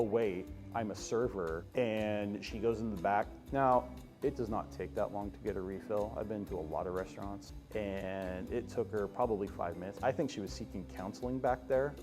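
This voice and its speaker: A man hosting a comic first-person story, told theatrically with dramatic emphasis and drawn-out words. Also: fairly low pitch, a little mellow, soft background music. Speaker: storyteller host.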